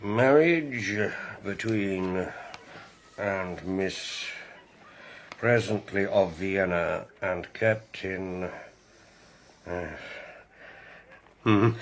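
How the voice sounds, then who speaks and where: Blustering, husky old aristocrat. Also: male voice, on the low side, a bit bright, exaggerated voice. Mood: moved, remorseful. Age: older. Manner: blustering, sputtering, repeats himself. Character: gruff, emotional old aristocratic grandfather with gout. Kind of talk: period radio drama acting.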